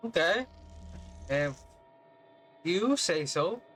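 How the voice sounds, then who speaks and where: A woman's voice: on the low side, animated, drawn-out words, breathy edge. A woman streamer giving casual game reaction commentary, with slangy, exclamatory reactions. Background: game music.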